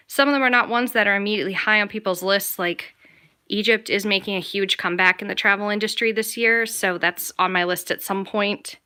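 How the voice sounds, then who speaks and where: A woman's voice: mid-range voice, fairly even intonation, a little fast, bright, forceful tone, clean tone. A young adult American woman chatting casually and enthusiastically to viewers as a travel vlogger, in a rambling way. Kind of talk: casual live Q&A chat to viewers.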